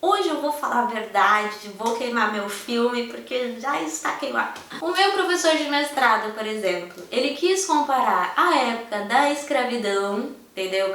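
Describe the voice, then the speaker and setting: Young brazilian woman venting on her vlog, brazilian accent, highish voice, super echoey room, sits back from the mic. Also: fairly bright tone, far from the mic. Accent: Brazilian Portuguese. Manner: frank, impassioned, speaks straight to viewers. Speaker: vlogger. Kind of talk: candid personal vlog.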